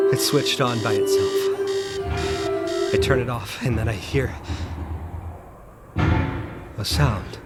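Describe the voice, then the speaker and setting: An actor playing a haunted, anxious guest in a supernatural audio drama, speaking in halting, short phrases with a dramatic score behind him. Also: male voice, medium pitch. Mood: alarmed, then tense dread. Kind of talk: first-person audio drama narration with dialogue.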